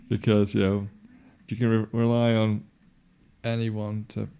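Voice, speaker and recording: A man's voice: fairly low pitch, creaky, clean tone, lo-fi sound. A young man with a Yorkshire-inflected northern English accent, chatting sardonically over a film and laughing often. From northern England. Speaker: co-host. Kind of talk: casual film commentary chat.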